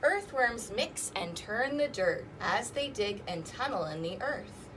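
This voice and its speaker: A woman's voice: fairly high pitch, animated, a little slow, noisy background. A woman hosting an interactive storytime, reading aloud to young children with singsong emphasis and inviting them to join in. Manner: asks listeners questions and invites them to join in.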